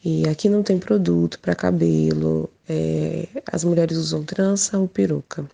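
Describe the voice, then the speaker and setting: A woman shares a personal account informally and reflectively. Her voice is low and full of vocal fry, with very little melodic movement. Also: fairly even intonation, unhurried, warm tone. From Portugal. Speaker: guest speaker sharing personal experience.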